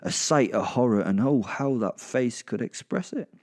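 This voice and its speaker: Gravelly Englishman telling dark comic horror. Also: medium pitch, expressive, moderate pace. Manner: gleeful, sardonic, sweary. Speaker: narrator and performer of an audio drama. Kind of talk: first-person darkly comic horror storytelling, in character.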